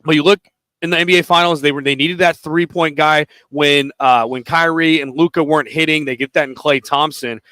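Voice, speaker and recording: A man's voice: fairly high pitch, fairly even intonation, brisk, pushed and bright, dry recording. A man hosting a sports betting radio show, steadily setting up topics with odds and questions for his co-host over a studio microphone.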